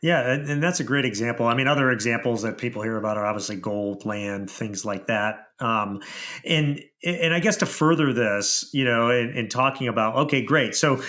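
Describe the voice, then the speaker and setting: A man hosts a casual interview show. His voice is bright and forceful at a medium pitch, and he meanders through loose phrases as he sets up questions. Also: moderate pace. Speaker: podcast host.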